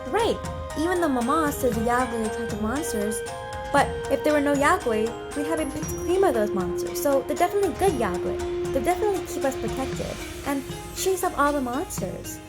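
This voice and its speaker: A woman's voice: sits high, expressive, fairly quick, over loud music, pitched above the performer's own voice. A woman voicing an earnest, trusting young child, naive and insistent, in a hobby read-aloud of a game story. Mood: earnest, hopeful. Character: earnest, trusting young child who believes in good monsters.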